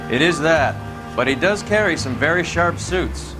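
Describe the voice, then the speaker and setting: An actor playing a smooth, cynical, crooked American police detective in a 1940s noir video game. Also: male voice, fairly high pitch, lively intonation, normal speed, over music, close to an everyday voice, rough recording. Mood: smug, needling.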